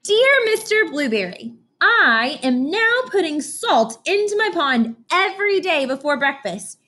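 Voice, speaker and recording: A woman voicing an earnest, excited little girl in a storybook, reading letters slowly in a sing-song way. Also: sits high, voice pushed up, exaggerated voice. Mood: eager, earnest.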